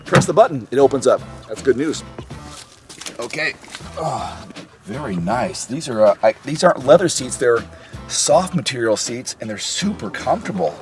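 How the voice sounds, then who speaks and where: A man's voice: mid-range voice, big pitch swings, normal speed. A man in his forties talks casually and upbeat into a camera held at arm's length. His voice is a little worn from a cold, and he thinks aloud as he goes. Faint music plays underneath. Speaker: car reviewer. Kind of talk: casual walkaround car review. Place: outdoors on a street and inside a parked car. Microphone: handheld camera at arm's length.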